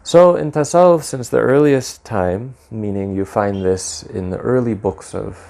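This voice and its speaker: Low gravelly man explaining Sufi practice. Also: warm tone. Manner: measured, explanatory, mixes in Arabic religious terms. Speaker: guest, Mevlevi Sufi practitioner. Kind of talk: reflective interview answers.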